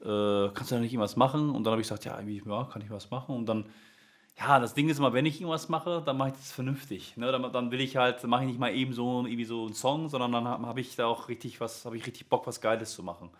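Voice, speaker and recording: A man's voice: mid-range voice, fairly even intonation, fast. A man, a music teacher, chatting casually as an interview guest, rambling with many fillers and restarts.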